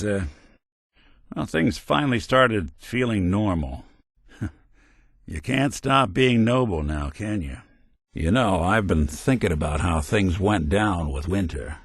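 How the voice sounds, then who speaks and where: An actor playing a weary, wry male synth detective in a game, with a dry, world-weary noir drawl that turns gruff in anger. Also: fairly low pitch, a bit bright, dry recording, full character voice. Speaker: companion character. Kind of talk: game voice lines. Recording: dry studio recording.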